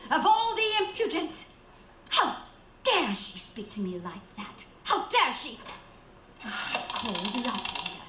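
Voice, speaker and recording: A woman playing a panicky, imperious bedridden wife in a radio play, with shrill, melodramatic, stammering indignation. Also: wildly swooping pitch, slow, long pauses, reverberant, background noise, heavily acted. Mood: outraged, haughty. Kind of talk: radio theater acting.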